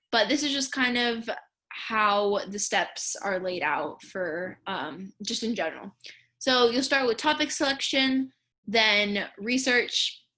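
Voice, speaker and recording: A woman's voice: medium pitch, sharp tone. A young American woman casually talking through an instructional slideshow, explaining things informally with little asides. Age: young adult. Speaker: student speech team officer giving a tutorial. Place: indoors in a room at home, on a webcam.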